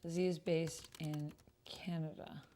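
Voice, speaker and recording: A woman's voice: sits low, flat delivery, a little slow, warm tone, slight background noise. A woman streamer chatting casually and thinking aloud as she hosts an online roleplaying game, sometimes muttering to herself. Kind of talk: casual livestream chat while playing.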